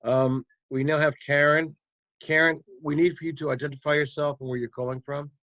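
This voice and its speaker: A man hosting and moderating a video call interview, brisk and organizing. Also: a little high, steady tone, a bit bright, dry recording. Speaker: host. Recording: dry dull call audio.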